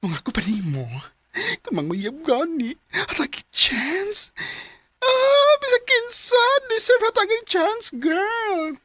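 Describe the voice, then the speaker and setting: A woman playing a lively matchmaking Cebuano friend. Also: extremely high pitch, takes it slowly, slight background noise, full character voice. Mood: coaxing. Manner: coaxing, lively. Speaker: radio drama actor.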